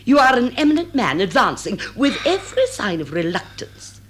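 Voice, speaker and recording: An actress playing a cool, worldly English wife who scolds with dry irony and measured affection in a radio comedy. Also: female voice, medium pitch, sing-song, slight background noise, close to an everyday voice. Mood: dry reproof. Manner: dry, ironic, measured lecturing. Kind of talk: stage drawing-room comedy in radio drama.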